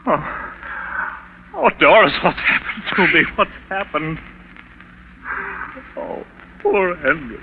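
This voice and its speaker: Through a scratchy, tinny old broadcast comes a man coming apart at the seams. His highish voice swings up and down as he stammers and repeats himself in agitation, in 1940s radio thriller style. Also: lively intonation, slow pace, long pauses. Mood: frantic. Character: panicked, mentally unraveling man convinced he killed his friend.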